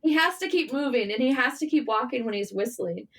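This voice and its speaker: Woman, high voice, casual interview guest, animated, candid, informal, self-deprecating. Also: fairly quick. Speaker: guest, writer and journalist.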